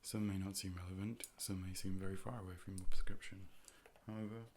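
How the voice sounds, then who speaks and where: A low-voiced man performs a soft ASMR role play as a calm, polite optician. His delivery is measured and hushed, often slipping into a whisper. He is close to the microphone, with faint noise behind the voice. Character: calm, polite robot A.I. optician conducting an eye exam. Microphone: close ear-to-ear stereo microphone.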